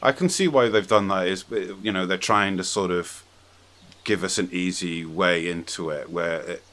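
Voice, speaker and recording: Very low-voiced man talking films. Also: fairly even intonation, moderate pace, a bit bright. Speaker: podcast host. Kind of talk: casual opinionated film discussion.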